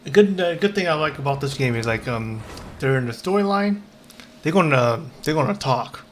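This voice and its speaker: A man chatting casually as a game streamer, rambling and halting, often repeating himself. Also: medium pitch, lively intonation, a little hiss or hum. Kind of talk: casual livestream chat.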